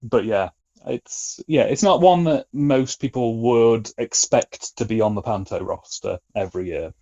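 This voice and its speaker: A man's voice: mid-range voice, a little slow, dark tone, highs cut off. A British man chatting casually as a podcast guest, with a wry, colloquial way of talking. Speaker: podcast guest, film reviewer.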